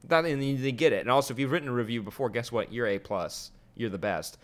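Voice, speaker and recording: A man's voice: medium pitch, fast. A young man co-hosting a podcast in casual comedic banter, playfully repeating words and phrases for emphasis. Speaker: podcast co-host.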